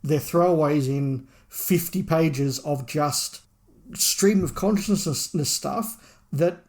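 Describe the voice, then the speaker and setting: A man's voice: fairly high pitch, slow pace, darkish tone. A man hosting a casual review discussion, talking emphatically and repeating words and phrases to drive his points home. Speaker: podcast host reviewing a book.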